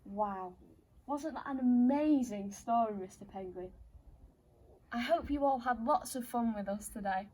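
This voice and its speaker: Female children's storytime host, high-pitched, theatrical and playful storyteller. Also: unhurried, darkish tone. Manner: playful, theatrical, addresses young listeners directly. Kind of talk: animated children's story reading.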